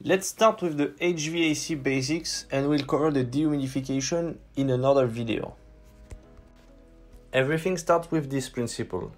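A man's voice: mid-range voice, normal speed, faint music behind. A man with a strong French accent, hosting and narrating a scripted explainer, walking through the basics step by step. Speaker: host.

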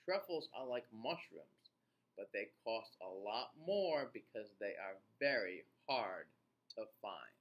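Very slow, animated man reading to children. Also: on the low side, dull audio. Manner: animated, deliberate, expressive teacher-like delivery with playful asides. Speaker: children's read-aloud host. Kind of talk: reading a children's picture book aloud to young children. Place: small indoor room.

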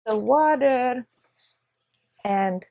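Hungarian-accented woman with a gravelly voice. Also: on the high side, extremely slow, no echo, low-quality recording. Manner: chatty, excitable, with short laughs. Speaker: vlogger. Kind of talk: casual unboxing vlog. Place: living room on a leather couch, indoors.

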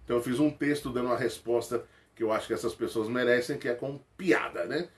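A man's voice: medium pitch, quick pace. A man in his forties, a comedian from Rio de Janeiro, chatting to camera and answering viewer questions in a small room. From Rio de Janeiro, Brazil. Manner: animated, jokey, laughs often, slangy. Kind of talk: casual chat to camera. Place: small indoor room with toy shelves. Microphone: webcam close to face.

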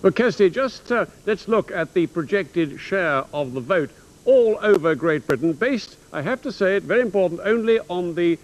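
An older male analyst walks viewers through election figures with theatrical emphasis. His voice sits high for a man. He speaks in a studio broadcast style. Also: animated, moderate pace, muffled recording. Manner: emphatic, theatrical explaining, walking through a virtual set. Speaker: election analyst presenting graphics. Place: television studio.

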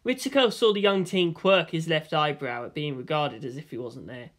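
A woman's voice: low voice, moderate pace. A woman narrator reading a scripted story as an audiobook.

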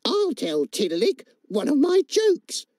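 An excitable, cheeky female kookaburra from a children's storybook, played by a woman. The voice is high and showy, leaping around in pitch as it delivers each phrase at an unhurried pace. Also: no echo, clean studio sound, exaggerated voice. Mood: cheeky, excited.

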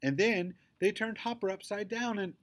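A man acts out a chatty, indignant little girl from a children's book. The delivery is excitable and emphatic, with lifted, very animated pitch. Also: unhurried. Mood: defensive, breathless rant.

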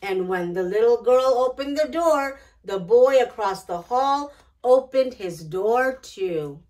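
Middle-aged female storytime host, slightly high voice, unhurried and expressive picture-book reading. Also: low-quality recording. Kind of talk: children's picture book read-aloud. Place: small decorated indoor storytime set.

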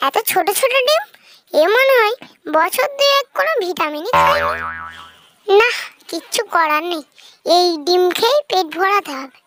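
A performer voicing a mischievous, always hungry trickster fox with a childlike, squeaky, singsong delivery in a children's fairy-tale cartoon. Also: very animated, moderate pace, pauses often, pushed and bright, heavily acted. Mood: greedy, searching. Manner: singsong, repeats a nonsense rhyming catchphrase.

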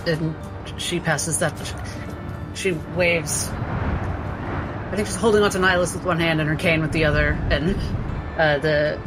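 A woman's voice: low-pitched, steady tone, unhurried, long pauses, poor audio. A woman casually describing her character's actions as a tabletop game player. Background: music.

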